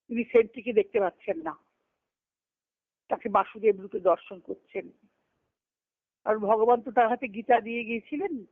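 A woman giving a devotional discourse on a conference call, telling stories earnestly and slowing down to recite scripture verses. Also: on the high side, no room sound. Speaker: spiritual discourse speaker. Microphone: phone on a conference call. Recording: tinny phone line, dry.

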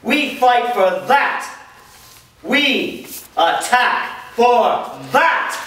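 A man playing an impassioned military commander in a staged reading, declaiming solemnly with deliberate pauses. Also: extremely high pitch, animated, a bit bright, full character voice. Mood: impassioned, rousing. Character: impassioned male commander rallying his soldiers. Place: large bare room with small audience, indoors. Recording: very echoey room, distant mic.

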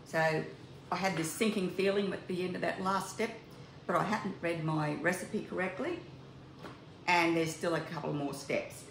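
An older woman with a low voice and a gravelly edge talks viewers through a recipe in her home kitchen. The hard kitchen walls make her sound echoey, and appliance noise runs clearly under her voice. She chats easily as she works, as though showing a friend. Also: steady tone, normal speed. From Australia. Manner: chatty, talks to viewers while working. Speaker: cooking video host. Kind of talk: home cooking demonstration. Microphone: camera mic at a distance.

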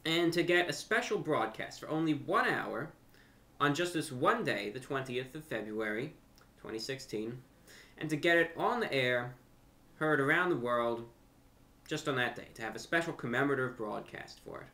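A young man speaks to camera in a bright, forceful voice at a middling pitch. His phrasing is deliberate and a little formal, with pauses and repeated words as he works through his points like an online host. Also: lively intonation. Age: young adult. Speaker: YouTube host and amateur radio broadcaster. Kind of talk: unscripted talk to camera. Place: furnished room at home, indoors.

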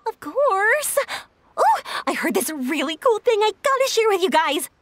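A woman's voice: extremely high pitch, very animated, measured pace, a bit bright, dry recording, full character voice. A woman voicing a cheerful young fireworks-maker who is bubbly, playful and teasing, in video game voice acting. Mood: upbeat.

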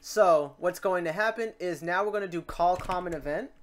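A tutorial host chatting informally and thinking aloud in a meandering way while working through software steps. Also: mid-range voice, moderate pace. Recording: noisy room, keyboard and mouse clicks. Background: typing and mouse clicking.

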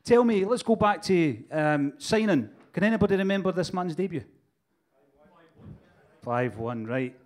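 A man's voice: high-pitched, expressive, measured pace, long pauses, a little mellow. A man hosting a live stage interview, joking with the crowd into a handheld mic. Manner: jokey, upbeat crowd-working. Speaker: host. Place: stage before a live audience.

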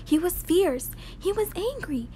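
Woman as squealing high-pitched frightened little pigs. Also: animated, a little slow, warm tone. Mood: frightened, urgent. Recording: home recording with clear background noise.

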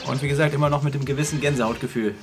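A man's voice: medium pitch, fairly even intonation, racing, over music. A man co-hosting a casual fan commentary on a cartoon.

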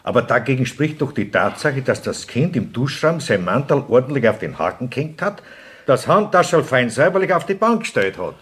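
Actor as wry Austrian police detective. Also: male voice, medium pitch, big pitch swings, a little fast, close to an everyday voice. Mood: objecting. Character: quick to object, wry male police detective. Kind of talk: radio crime drama dialogue.

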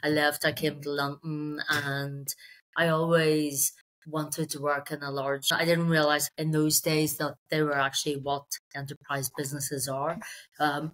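A woman's voice: low-pitched, a bit bright, low-quality recording. A middle-aged woman with a Belfast accent telling her career story conversationally in an interview, with wry, self-deprecating asides. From Belfast, Northern Ireland. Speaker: sales executive, interview guest. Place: indoor room on a video call.

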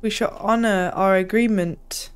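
A woman's voice: medium pitch, fairly even intonation, measured pace. A young woman doing casual gameplay commentary, playful with dry complaints, close on a desk microphone. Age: young adult. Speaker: game streamer. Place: small room at home, indoors. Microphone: desk microphone, close, with headset. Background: game music.

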